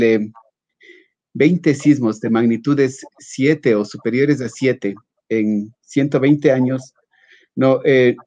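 A middle-aged man explaining as an academic panelist on a video call, haltingly, repeating words and adding questioning tags. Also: medium pitch, a little mellow. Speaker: scientist, workshop panelist. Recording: slightly dull video-call audio.

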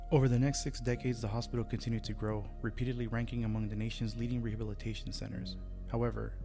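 Fast, flat-voiced man narrating history. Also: mid-range voice, creaky. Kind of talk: scripted documentary narration. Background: music.

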